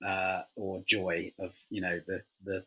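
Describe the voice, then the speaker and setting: A man gives a casual interview as a writer. His voice is low and rough-edged, with a bright tone. He rambles loosely, filling gaps with informal asides. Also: level tone, measured pace, rough recording. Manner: informal, filler-heavy, rambling. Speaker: writer, panel guest.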